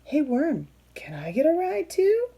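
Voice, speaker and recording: A woman voicing eager, friendly animals in a children's storybook, asking and pleading in a bright manner. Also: high-pitched, wildly swooping pitch, unhurried, vocal fry, voice pushed up, heavily acted. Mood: hopeful, pleading.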